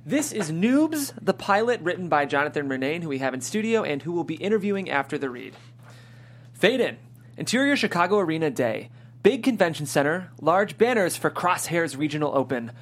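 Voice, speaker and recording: A man's voice: fairly high pitch, big pitch swings, a little fast, a bit bright. A man hosting a script-reading show, presenting guests and reading out stage directions as a narrator. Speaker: host of a table-read show, also reads stage directions.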